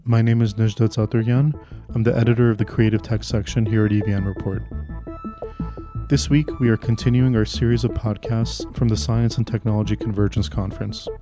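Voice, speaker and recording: A man's voice: fairly low pitch, steady tone, brisk. Male podcast host over music. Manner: polite, scripted intro then brief prompts. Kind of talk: podcast interview hosting.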